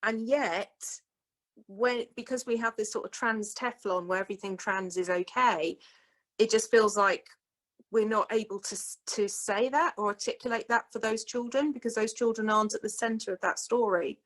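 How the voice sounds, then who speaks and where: A woman's voice: fairly high pitch, moderate pace, a bit bright, low-quality recording. A woman speaking as a guest at an online talk, emphatic and indignant.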